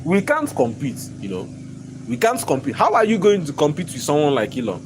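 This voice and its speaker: Hoarse man ranting in his shop. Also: a little high, huge pitch swings, a little fast, noisy background. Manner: repetitive, emphatic, stammering restarts, bursts of laughter. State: hoarse, lost voice. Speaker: shop owner vlogger. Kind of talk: angry ranting vlog. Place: inside his own shop.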